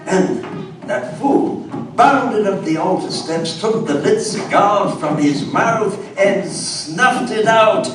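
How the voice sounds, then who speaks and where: A man's voice: high-pitched, takes it slowly, noisy background. A man playing a haunted colonial Englishman who narrates a horror tale in a theatrical, suspenseful on live radio theatre. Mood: horrified, intense. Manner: theatrical, suspenseful, dwells on words. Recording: echoey hall, distant mics, live audience.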